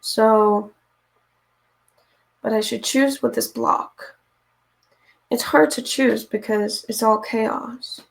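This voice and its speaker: Woman streamer, raspy and a bit hoarse, fairly high voice, unhurried, chatty. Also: steady tone, poor audio. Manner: chatty, talks to viewers while working, often unsure what to do next. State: hoarse from reading aloud. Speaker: streamer painting live. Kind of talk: casual chat while painting.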